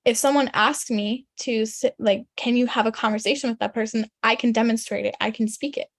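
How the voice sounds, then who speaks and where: Young female career coach, first language German, loose and chatty with many fillers, slightly high voice. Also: steady tone, brisk, no echo, studio quality. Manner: chatty, lots of fillers, frequent throat clearing. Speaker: career coach presenting a resume webinar. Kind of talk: casual webinar presentation.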